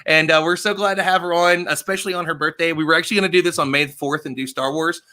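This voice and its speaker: A man in his thirties hosts a casual online interview. His voice is bright and forward, a little high for a man. He speaks quickly and with enthusiasm. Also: steady tone. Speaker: show host, interviewer. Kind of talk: casual live-stream interview. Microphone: desk microphone, headset, on a video call.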